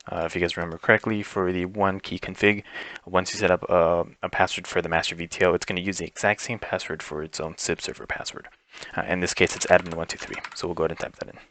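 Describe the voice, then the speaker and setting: A man giving an informal, unscripted step-by-step software walkthrough as a tech instructor, explaining each setting as he goes. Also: low voice, no echo.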